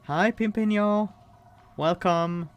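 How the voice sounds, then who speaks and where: A man with a Swiss accent, streaming a card game and thinking aloud, reading cards and weighing choices with pauses and fillers. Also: sits high, smooth. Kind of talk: casual gameplay commentary.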